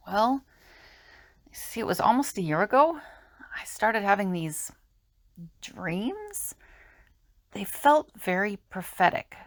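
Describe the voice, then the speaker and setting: A woman acting a gravelly, earnest visionary. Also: mid-range voice, no echo, studio quality. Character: hesitant young woman visionary, candid and earnest. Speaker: voice actor in an audio drama. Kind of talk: amateur audio drama acting.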